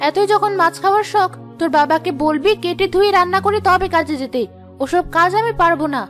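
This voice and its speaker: A woman playing a hot-headed young village wife and mother in a cartoon drama, sharp and accusing, firing off rhetorical questions. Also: extremely high pitch, brisk, soft background music. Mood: irritated. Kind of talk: Bengali cartoon drama acting.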